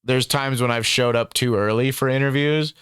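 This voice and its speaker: A man's voice: mid-range voice, fairly even intonation, moderate pace, a bit bright, smooth, no echo, clean studio sound. A man hosting a casual interview, rambling and swearing freely, with lots of fillers. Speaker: interviewer and channel host.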